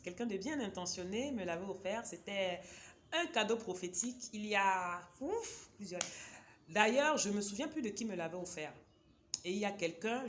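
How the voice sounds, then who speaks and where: A woman's voice: lowish voice, a bit bright, slight background noise. Chatty woman vlogger. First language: a Cameroonian local language. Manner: chatty, digressive, talks directly to viewers. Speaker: vlogger presenting books. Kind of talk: casual book-recommendation vlog.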